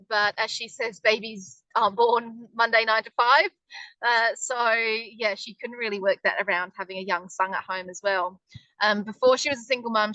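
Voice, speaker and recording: A woman's voice: mid-range voice, sharp tone, no room sound, low-quality recording. A woman presenting a casual webinar in a chatty, upbeat way, with lots of ums. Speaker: online business presenter.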